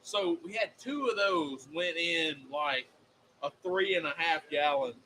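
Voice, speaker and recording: A Southern man with a high, bright voice chats in a folksy, rambling way as co-host. A faint hiss sits under the recording. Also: lively intonation, a little slow, poor audio. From American South. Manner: folksy, drawling, rambling storyteller. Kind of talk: casual chat.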